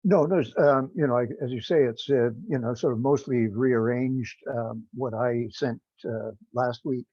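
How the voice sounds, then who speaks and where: Male meeting participant, hesitant and informal, medium pitch, dull conference audio. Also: fairly even intonation, darkish tone, no echo. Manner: hesitant, halting delivery. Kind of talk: informal online meeting talk. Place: online conference call.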